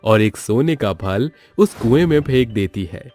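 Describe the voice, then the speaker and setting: A man's voice: medium pitch, expressive, unhurried, a little mellow, soft background music. A man narrating a scripted children's story as the storyteller.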